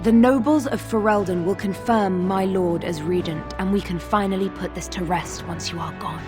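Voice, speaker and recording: A woman's voice: medium pitch, normal speed, over music, lightly acted. An actress playing a loyal, duty-bound female knight in a fantasy game, earnest and grave. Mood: defiant. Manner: earnest, solemn. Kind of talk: dramatic fantasy game acting.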